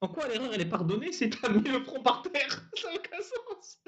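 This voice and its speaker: Animated, mocking man. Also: very high voice, a little fast. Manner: animated, sarcastic, laughs often. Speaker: host of a debate channel. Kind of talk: casual mocking chat. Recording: dull lo-fi sound.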